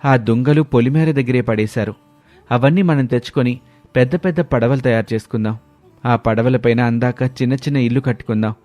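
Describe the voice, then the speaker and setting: A man's voice: mid-range voice, a little fast, a little mellow, dry recording, soft background music, close to an everyday voice. An actor playing a clever, level-headed villager who rallies his neighbours in a cartoon moral story. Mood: practical.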